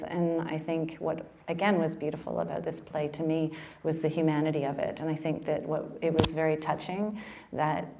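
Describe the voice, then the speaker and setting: A woman's voice: low voice, warm tone. A woman speaking earnestly as a panelist in a discussion.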